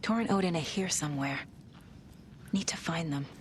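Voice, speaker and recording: A woman playing a level-headed investigator in a game, measured and questioning. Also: low-pitched, slight background noise, close to an everyday voice. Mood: composed. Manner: measured, questioning, muttering to herself. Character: focused, level-headed adult female investigator.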